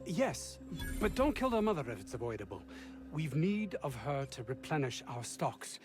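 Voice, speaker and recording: An actor playing a haughty, self-absorbed research scientist in a video game, with formal, theatrical diction. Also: male voice, a little high. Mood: businesslike. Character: pompous, self-absorbed male research scientist, ambitious and aggrieved. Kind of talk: video game character acting.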